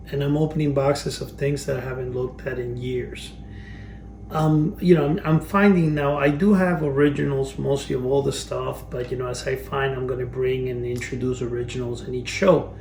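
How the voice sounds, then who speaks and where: Casual collector host, fifties, Cuban-born, gravelly and rambling, recorded at a distance in an echoey room with faint hum. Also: male voice, mid-range voice, moderate pace. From Cuba. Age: 50s. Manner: rambling, restarts phrases, chuckles at his memories. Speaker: collector reviewing vintage items. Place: cluttered storage room.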